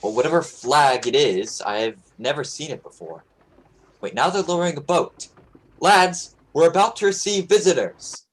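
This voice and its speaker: A young man playing a steady, commanding sailing captain in an amateur radio play. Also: on the high side, normal speed, close to an everyday voice. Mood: urgent command.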